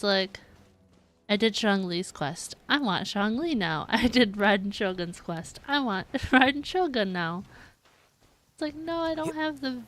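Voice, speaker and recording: A young woman chatting casually as she comments live on a game, expressive and giggly. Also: medium pitch. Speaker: game streamer.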